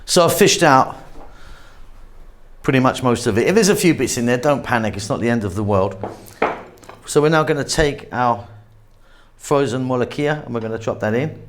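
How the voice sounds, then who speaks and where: A man hosting a cooking channel, talking casually through a recipe demonstration in a kitchen with a relaxed, chatty manner. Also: medium pitch, moderate pace, pauses often, slight background noise. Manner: chatty, relaxed, thinks aloud with fillers.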